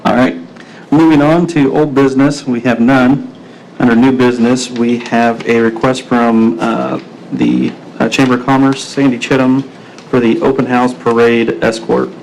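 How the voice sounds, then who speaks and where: A man's voice: mid-range voice, vocal fry, darkish tone. A man speaking as mayor, running a public meeting in procedural but informal, hesitant language. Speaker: mayor chairing a city meeting. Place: indoor city council meeting room. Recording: noisy room recording of a public meeting.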